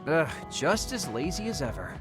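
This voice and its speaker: Sharp-tongued teasing youngster, casual, very animated pitch, anime game, music behind. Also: female voice, moderate pace. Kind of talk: anime-style game voice acting.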